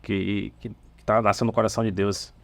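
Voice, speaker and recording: A man from Salvador with a Bahian accent, a church band's bassist, chatting casually on a podcast in an easygoing, halting storytelling way with fillers and restarts. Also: level tone, gravelly, no room sound. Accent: Bahian Portuguese. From Salvador, Brazil. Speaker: bassist of a church worship band, podcast guest.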